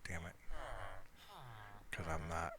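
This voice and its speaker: A man giving casual, rambling, low-key commentary on his own video game play. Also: monotone, slow, crowd noise. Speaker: gamer narrating own gameplay.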